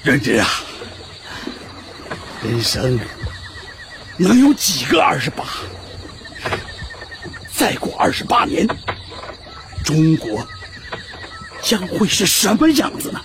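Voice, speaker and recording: A man's voice: a little high, huge pitch swings, slow, long pauses, background noise, full character voice. A man acting in a Chinese historical radio drama as an earnest, formal chairman of a secret revolutionary meeting. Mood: wistful, hopeful.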